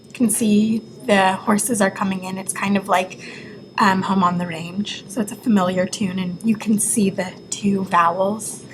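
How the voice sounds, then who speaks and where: A woman's voice: medium pitch, steady tone, a little slow. A woman giving an informal, explanatory walkthrough of an educational website as a reviewer. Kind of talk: casual screen-recorded walkthrough. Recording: clear background noise.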